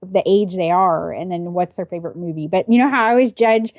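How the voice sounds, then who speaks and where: A woman in her forties co-hosting a casual podcast, explaining things in a measured, reasoning way. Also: lowish voice, darkish tone, no echo. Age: 40s. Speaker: podcast co-host. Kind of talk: casual pop-culture podcast chat.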